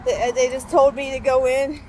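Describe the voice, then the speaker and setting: A woman's voice: sits high, steady tone, fairly quick, mellow, slight background noise, rough recording. A young woman with a childlike, slightly nasal voice, out of breath as she walks to work outdoors and chats rambling into her phone for a vlog, with many fillers and restarts. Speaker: vlogger. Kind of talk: casual vlog update while walking. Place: outdoors, walking through a town to work.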